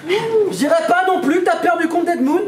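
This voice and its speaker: A man's voice: extremely high pitch, lively intonation, normal speed. A man battle rapping a cappella, mocking his opponent with taunting punchlines. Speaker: battle rapper. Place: event hall with a crowd. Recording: echoey hall with crowd noise.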